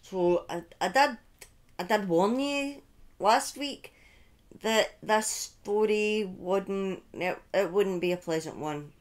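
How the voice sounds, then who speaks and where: A middle-aged woman with a medium-pitched voice hosts an informal storytelling stream. She talks slowly and deliberately, leaving pauses and repeating phrases for emphasis. She speaks close into a desk microphone. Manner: deliberate, pausing, emphatic repetition. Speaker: livestream host telling a true-crime story. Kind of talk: informal true-crime storytelling to a live chat. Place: small indoor room at home. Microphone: close desk microphone on a boom arm.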